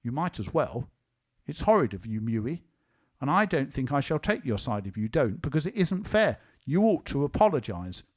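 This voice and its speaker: A man's voice: medium pitch, big pitch swings, moderate pace. A man voicing a sleepy, earnest little boy who argues with his sister in a reasoning tone. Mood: earnest, scolding. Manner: earnest, reasoning, a bit stubborn.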